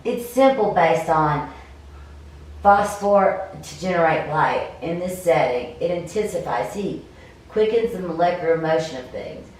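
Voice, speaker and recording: A woman reads as an encouraging game heroine who explains and coaches in a low voice. It is recorded at a distance in a very echoey room. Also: animated, unhurried, a little mellow. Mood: coaching. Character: female adventurer heroine, encouraging and instructive.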